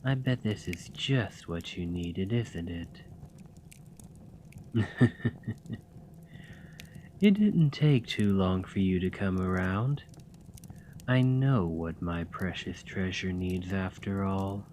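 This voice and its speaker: A man voicing a doting, teasing male dragon lover in an ASMR roleplay, talking softly and coaxingly. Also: low voice, expressive, takes it slowly, pauses often, a little hiss or hum. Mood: tender. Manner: soft, playful and coaxing.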